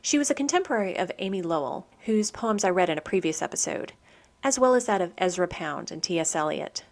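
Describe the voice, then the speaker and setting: A woman hosting a poetry program, speaking in a measured, articulate scripted style for radio narration and poem reading. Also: on the low side, expressive.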